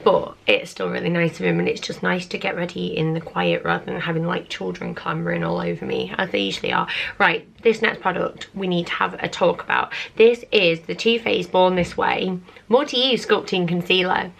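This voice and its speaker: A British woman in her thirties, husky from the end of a cold, chatting casually and laid-back through a make-up tutorial. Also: fairly low pitch, normal speed, fairly bright tone. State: getting over a cold. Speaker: beauty vlogger.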